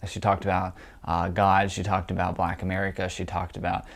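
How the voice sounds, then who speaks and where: A young man talks politics in a casual, scornful way, heavy on fillers. His allergies leave him stuffed up and nasal. His fairly low voice carries a gravelly fry. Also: monotone, fairly quick. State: congested, stuffy nose from allergies. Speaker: political commentator.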